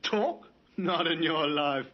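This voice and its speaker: An actor playing a defiant petty crook with rough street talk in an old radio drama. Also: male voice, on the high side, takes it slowly, lo-fi sound. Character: defiant petty crook under police questioning. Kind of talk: 1950s radio drama acting. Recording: scratchy, noisy 1950s radio recording.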